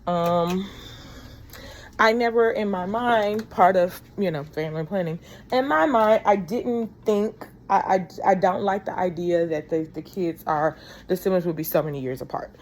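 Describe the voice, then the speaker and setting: A woman in her thirties talks to camera in a loose, rambling vlog chat, drifting from thought to thought and calling her viewers y'all. Her voice sits a little low for a woman, and a faint hiss of background noise runs under it. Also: normal speed. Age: 30s. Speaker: vlogger.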